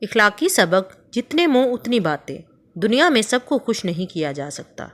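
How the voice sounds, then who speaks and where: A woman telling a moral story for children in calm, measured scripted narration. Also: mid-range voice.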